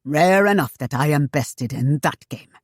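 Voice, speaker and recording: A woman voicing a shrewd, fussy older gentleman in a storybook, speaking in a formal, old-fashioned way. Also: sits low, animated, measured pace, no echo, clean studio sound. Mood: proud, wry.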